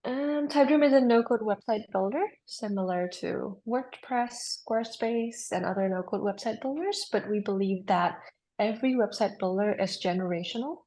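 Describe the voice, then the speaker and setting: A young woman from Indonesia talks through her startup in a casual interview. She sounds upbeat and explanatory, sometimes pausing on an um. Her voice sits at a middle pitch. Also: dark tone. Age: young adult. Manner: upbeat, explanatory, with frequent ums. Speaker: startup co-founder guest.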